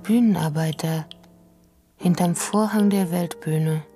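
Slow, biting, defiant activist. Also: female voice, on the low side, warm tone. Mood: bitter, sarcastic. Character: bitter, defiant female activist journalist. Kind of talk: radio drama monologue. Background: piano and keyboard music.

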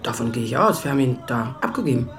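A woman's voice: a bass voice, lively intonation, fast. An actress playing a wry, reflective woman writer around forty in an audio drama. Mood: flustered, defensive. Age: middle-aged. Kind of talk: literary first-person narration and acted dialogue in an audio drama. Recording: music behind the voice.

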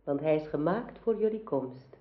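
Courtly sorceress, gracious, scheming, formal, deliberate, radio play, muffled lo-fi sound. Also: female voice, low-pitched, animated. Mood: gracious, inviting. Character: courtly enchantress sister of a king, gracious and scheming. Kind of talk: radio drama acting. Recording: dull, lo-fi radio play recording.

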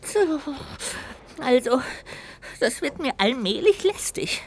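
An actress playing a small, childlike, chatty little ghost in a children's audio drama, exclaiming and musing to itself expressively. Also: female voice, high voice, big pitch swings, slow, heavily acted. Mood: annoyed.

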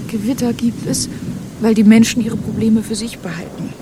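An actress playing a coy, whimsical young Parisian mademoiselle in a radio crime drama. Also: female voice, fairly high pitch, steady tone, normal speed, close to an everyday voice. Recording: noisy, with rain and thunder.

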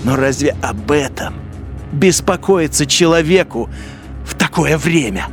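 An actor playing a bitter, haunted male turncoat officer in a radio play, brooding and moving between low mutters and sharp outbursts. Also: lively intonation, unhurried. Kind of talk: radio drama inner monologue. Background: music.

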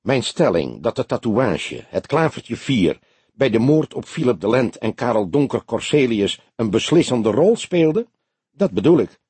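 A male narrator plays a young police detective in an audiobook, wry and sceptical. His husky voice rises and swoops as he questions, incredulous and dryly joking. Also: mid-range voice, very animated, unhurried, a little mellow, no echo, exaggerated voice. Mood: questioning.

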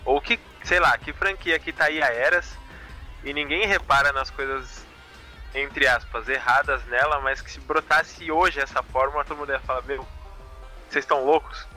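A man's voice: medium pitch, steady tone, normal speed, sharp tone, soft background music, rough recording. A man with a Brazilian accent chatting casually as co-host of a live show. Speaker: podcast co-host.

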